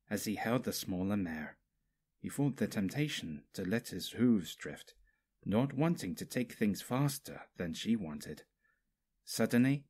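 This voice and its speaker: A man's voice: lowish voice, darkish tone, breathy edge. A man reading a story aloud as a scripted narrator. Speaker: fanfiction narrator.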